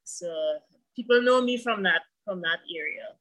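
A woman in her thirties with a bright, forceful tone. She answers as an interview guest, taking her time and listing things off at length. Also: medium pitch, moderate pace, rough recording. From Trinidad and Tobago. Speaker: guest musician. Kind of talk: casual interview chat.

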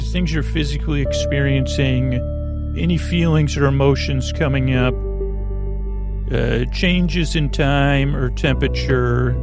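A man hosting a bedtime podcast in a creaky voice, wandering haltingly through tangents and tripping over his words. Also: medium pitch. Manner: meandering, halting, digressive, stumbling over words. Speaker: podcast host. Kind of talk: rambling bedtime podcast monologue.

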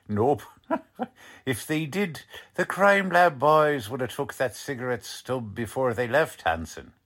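A gruff, streetwise lieutenant from a 1940s radio crime drama, acted by a man. He drawls out tough-cop slang slowly and bluntly, with very animated intonation. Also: mid-range voice. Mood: amused.

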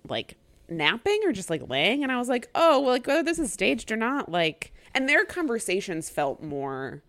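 A woman's voice: fairly high pitch, sing-song, normal speed, fairly bright tone, dry recording. A young woman co-hosting a podcast, chatting casually and animatedly, swearing freely and acting out what people said. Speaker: podcast co-host. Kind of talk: casual chat recapping a reality show.

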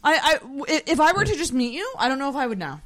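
A young woman from the Maritimes hosting a casual chat, asking curious questions and reacting with quick exclamations. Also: high-pitched, big pitch swings, fairly bright tone. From Maritimes, Canada.

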